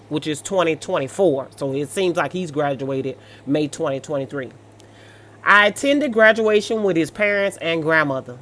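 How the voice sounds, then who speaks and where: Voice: mid-range voice, a bit bright. An American speaker with a sassy, blunt, colloquial manner, reading stories aloud and reacting with off-the-cuff commentary.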